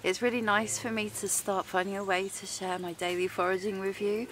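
A woman's voice: medium pitch, little pitch movement, brisk, a bit bright, a little hiss or hum. A woman in her thirties chatting casually and enthusiastically to camera as she hosts an outdoor vlog in the woods. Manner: enthusiastic, giggly. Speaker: vlog host, forager. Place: outdoors in a woodland.

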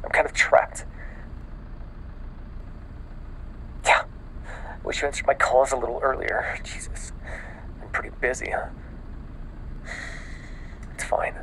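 A man playing a panicked, exhausted newly turned vampire in an ASMR audio roleplay, stammering and often dropping into a breathy whisper. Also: mid-range voice, little pitch movement, pushed and bright, a little hiss or hum, poor audio. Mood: anxious, weary. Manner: halting, stammering, breathy sighs and frequent swearing.

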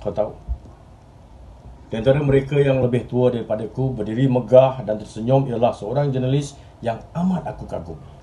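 A man acting an earnest, restless journalist in a dramatic stage monologue, speaking in deliberate literary phrases with pauses. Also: mid-range voice, mellow, slight background noise, lightly acted. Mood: earnest, admiring. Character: earnest, restless male journalist reminiscing about his admired mentor. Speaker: stage actor. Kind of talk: theatrical monologue in Malay drama.

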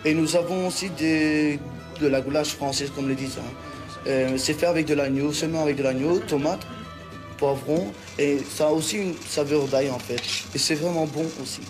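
Man, slightly high voice, easygoing interview talk, music bed behind. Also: normal speed. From Belgium. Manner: relaxed and conversational, with small hesitations. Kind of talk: documentary interview. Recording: music playing under the voice.

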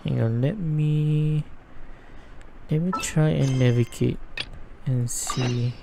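A man's voice: fairly high pitch, fairly even intonation, very slow, gravelly, background noise. A man giving casual game playthrough commentary, muttering as he thinks aloud. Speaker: gaming video host.

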